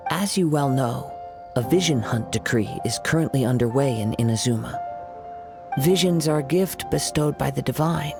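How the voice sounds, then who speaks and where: A courteous young swordsman with a scholar's curiosity, played by a man. He speaks formally and evenly at a middling pitch, choosing every word with care, while soft game music plays behind him. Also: creaky, lightly acted. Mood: calm. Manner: formal, polite and measured. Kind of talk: game voice acting.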